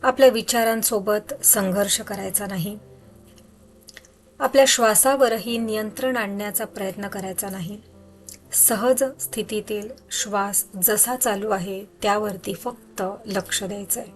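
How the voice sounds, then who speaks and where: Woman, guided meditation narration, soothing and instructional, unhurried phrasing, medium pitch, background music. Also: steady tone.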